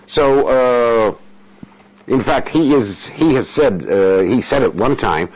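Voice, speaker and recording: An older man whose first language is Russian, an interpreter answering interview questions in a halting, measured way with many fillers and restarts. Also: mid-range voice, animated, darkish tone, husky, poor audio.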